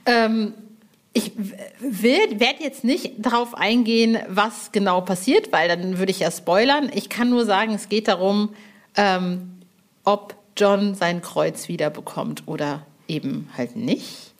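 A woman's voice: medium pitch, unhurried. A woman hosting a podcast interview in a casual, colloquial and enthusiastic way.

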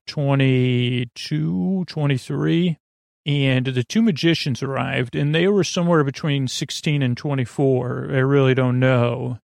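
A man with a creaky voice hosting a podcast in a rambling, halting, casual monologue that keeps trailing off and circling back. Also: medium pitch, no echo, studio quality. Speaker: podcast host.

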